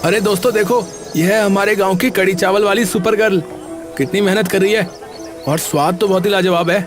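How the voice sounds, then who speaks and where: An actor playing an excited, admiring young village lad in a cartoon, with an enthusiastic manner. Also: male voice, high-pitched, a little fast, over music, natural voice.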